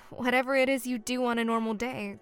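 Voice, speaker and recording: An actress playing a gentle, guarded mermaid who passes as an ordinary young woman, hesitant and naive, in an audio drama. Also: fairly high pitch, fairly even intonation, brisk, smooth, no room sound, soft background music, lightly acted. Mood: gently curious. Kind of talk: naturalistic audio drama acting.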